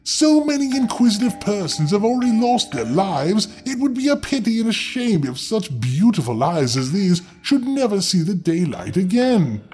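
A man's voice: high voice, big pitch swings, moderate pace, few pauses, warm tone, faint music behind, voice pushed up. A man voicing a worried, pitying female innkeeper in a storybook tale, with fretful, old-fashioned phrasing.

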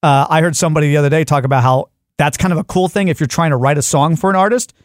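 A man's voice: fairly high pitch, very fast, no echo, studio quality. A man co-hosting a talk show, giving emphatic, opinionated takes in casual banter.

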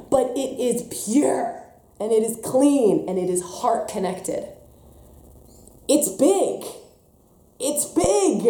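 A woman's voice: high-pitched, roomy sound. A woman giving an impassioned spiritual talk, emphatic and intense by turns, with a slightly stuffy voice. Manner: passionate, emphatic, swings between soft and intense. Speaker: spiritual teacher.

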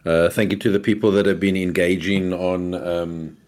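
Deep-voiced, easygoing podcast man. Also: level tone, normal speed, darkish tone. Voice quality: deep, booming voice. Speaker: podcast co-host. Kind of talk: casual chat.

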